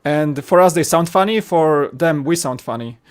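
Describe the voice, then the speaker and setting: A man's voice: on the high side, normal speed, smooth. A man from Poland with a Polish accent, a podcast co-host chatting casually and explaining at length with frequent restarts. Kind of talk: casual chat.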